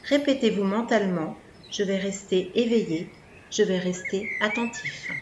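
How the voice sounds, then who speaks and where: A woman's voice: mid-range voice, dull audio. Woman guiding relaxation in an echoey room. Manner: soothing, measured instructions with pauses. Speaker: yoga nidra instructor. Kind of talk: guided relaxation meditation. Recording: echoey room, background noise, birdsong.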